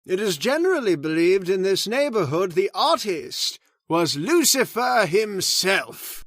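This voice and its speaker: A man playing a solemn caretaker who slowly tells a dark family legend in an old-time radio drama. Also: high voice, big pitch swings, no room sound, studio quality, exaggerated voice. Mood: grave. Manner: slow, ominous, measured delivery. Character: grave, solemn male storyteller of the house. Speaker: Mister Roberts, old manor caretaker telling the legend.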